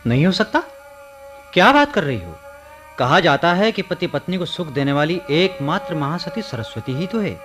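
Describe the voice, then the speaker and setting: A man's voice: a little high, very animated, moderate pace, a bit bright, faint music behind. An actor playing an earnest, questioning man courted by a ghost in a radio drama, with a theatrical delivery. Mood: incredulous. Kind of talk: Hindi radio play acting.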